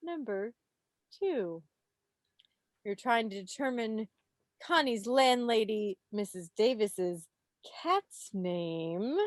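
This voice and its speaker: A woman's voice: fairly high pitch, expressive, takes it slowly, pauses often, no echo, clean studio sound. A woman hosting a quiz over a video call in a playful, teasing, theatrical way. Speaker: quiz host. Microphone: video call microphone.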